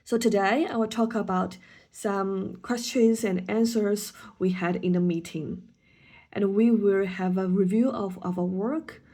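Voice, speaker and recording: A woman's voice: medium pitch, steady tone, a little slow, dark tone. A woman whose first language is Chinese, a student teacher giving a hesitant class presentation with frequent ums and restarts.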